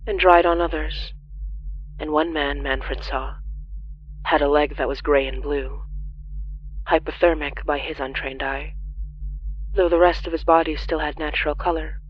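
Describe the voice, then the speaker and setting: Horror audio fiction narrator: grave, deliberate, medium pitch, pausing between phrases, on a slightly muffled recording. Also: fairly even intonation, slight background noise. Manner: grave, measured delivery with deliberate pauses. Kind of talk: scripted dramatic horror narration.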